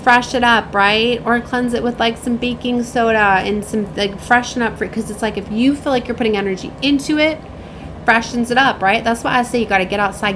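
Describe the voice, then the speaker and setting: Higher-pitched woman rambling effusively, noisy recording. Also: fairly bright tone. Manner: effusive, rambling, often seeking agreement with tag questions. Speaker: spiritual reader and self-described shaman. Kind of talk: casual informal spiritual talk to viewers.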